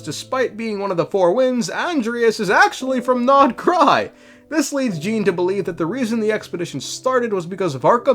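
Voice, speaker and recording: Lively, higher-pitched man, irreverent recap. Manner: irreverent, sweary, sardonic asides. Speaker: video creator recapping a game story. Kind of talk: casual commentary recap.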